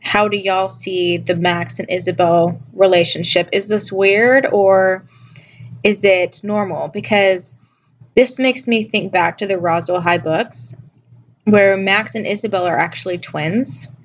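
A woman's voice: medium pitch, steady tone, measured pace, dull audio. A woman hosting a solo review podcast, talking casually while sick and losing her voice, with a scratchy voice and musing, self-questioning delivery. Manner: musing, asks listeners questions, many restarts. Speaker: podcast host reviewing a TV episode.

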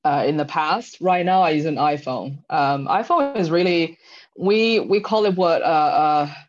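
A woman's voice: low voice, lively intonation, a little slow, muffled recording, faint music behind. A woman in her thirties from China, answering interview questions concisely with some hesitant fillers. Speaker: interview guest, tech leader.

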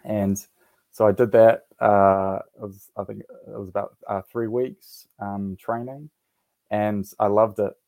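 A man's voice: fairly low pitch, flat delivery, measured pace, gravelly, warm tone, dry recording. A man with a New Zealand accent, a guest in his forties telling his story on a radio talk show, hesitant with many fillers and restarts. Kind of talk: casual interview.

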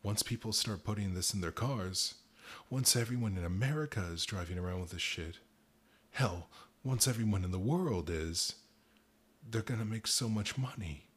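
A man's voice: fairly low pitch, normal speed, breathy edge, pitched above the performer's own voice. A man voicing a frightened, guilt-ridden factory boss who speaks in a choked, tearful voice. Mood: choked, guilty.

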